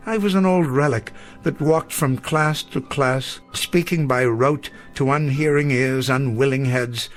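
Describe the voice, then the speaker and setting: Guy acting as gentle old teacher, formal and bookish, sad and full of self-doubt, radio play with music. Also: mid-range voice, measured pace, hardly any pauses, lightly acted. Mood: despairing. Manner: formal, eloquent, melancholy. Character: weary, self-doubting elderly male schoolteacher, gentle and bookish.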